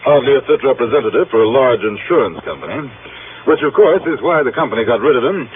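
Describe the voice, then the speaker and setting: An actor playing a snobbish, stuffy insurance agent in a 1950s radio drama, speaking in a pompous, fussy, formal way. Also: male voice, medium pitch, animated, fast, lightly acted. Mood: haughty. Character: snobbish, stuffy Harvard-educated insurance agent, adult man. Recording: tinny old radio, faint noise.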